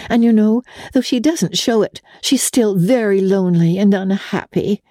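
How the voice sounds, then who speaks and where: A narrator doing a high, girlish, candid young fiancée. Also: normal speed, warm tone, dry recording, studio quality, close to an everyday voice. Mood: fond, concerned. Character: candid, affectionate, sheltered young fiancée writing a letter.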